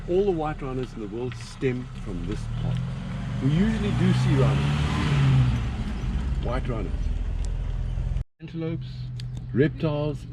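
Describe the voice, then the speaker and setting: A man's voice: mid-range voice, background noise. A man from South Africa with a South African accent, giving a chatty, joking guided commentary from an open safari vehicle outdoors.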